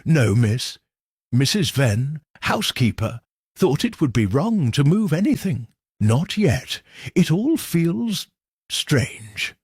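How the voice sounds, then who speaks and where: Casting: male reader as uneasy female servant, deferential, hesitant, highly varied pitch. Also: very animated, takes it slowly, darkish tone, husky, dry recording, clean studio sound, full character voice. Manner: hesitant, respectful.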